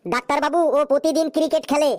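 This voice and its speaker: High-pitched, naive cartoon patient voiced by a woman. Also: steady tone, fast, a little mellow, lightly acted. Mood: earnest. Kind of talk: animated comedy sketch dialogue.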